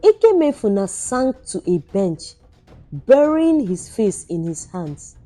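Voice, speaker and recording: A woman storyteller delivers scripted, dramatic folktale narration. Her tone is soft and mellow, and her pace is unhurried. Music runs behind her voice. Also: mid-range voice, very animated.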